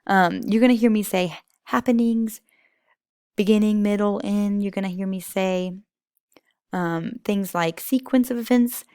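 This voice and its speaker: A woman's voice: medium pitch, a little slow, mellow, clean tone, no echo, clean studio sound. A woman teacher explaining a lesson to young children in an informal, emphatic way.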